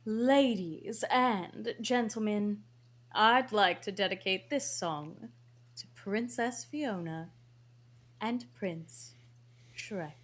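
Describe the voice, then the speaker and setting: A woman's voice: mid-range voice, slow. A woman playing a showy, scheming fairy godmother in a table read, with grand, showbiz announcing. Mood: theatrical.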